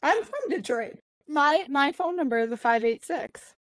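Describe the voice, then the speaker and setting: A woman's voice: sits high, expressive, normal speed, no room sound. Chatty laughing woman. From Michigan, USA. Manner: chatty, laughs often, restarts sentences. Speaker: podcast guest. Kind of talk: casual chat.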